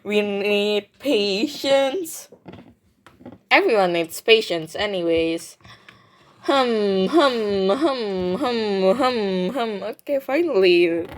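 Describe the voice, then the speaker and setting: A woman giving casual, rambling playthrough commentary, excitable and often repeating her words. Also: mid-range voice, drawn-out words. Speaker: gaming video creator. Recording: music behind the voice. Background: clear game music.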